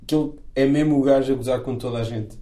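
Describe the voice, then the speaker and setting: A man's voice: medium pitch, steady tone, quick pace, dark tone. A man with a European Portuguese accent chatting casually about films in an opinionated, colloquial way.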